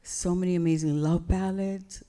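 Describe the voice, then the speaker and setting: Reflective woman in her sixties, mellow tone. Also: fairly low pitch, fairly even intonation. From United States. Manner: reflective, unhurried, with many fillers. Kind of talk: live onstage conversation. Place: event hall before an audience.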